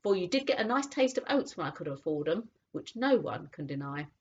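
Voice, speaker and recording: A woman doing a bluff, loud old cabby. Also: lowish voice, sing-song, fairly quick, breathy edge, heavily acted. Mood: hearty. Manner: loud, blunt and homely. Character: rough-spoken working-class London cabby, bluff and warm-hearted. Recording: dull lo-fi audiobook recording.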